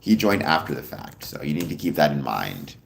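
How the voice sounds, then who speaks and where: Deep, gravelly-voiced man chatting on a livestream. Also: a little fast. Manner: relaxed, rambling, many ums, answers chat questions. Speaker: livestream host. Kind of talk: casual livestream chat reading viewer comments.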